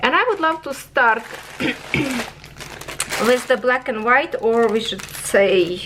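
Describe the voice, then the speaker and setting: A woman's voice: on the high side, slow, noisy background. A woman giving a casual product review on her craft channel, chatty and checking in with viewers, in non-native English phrasing.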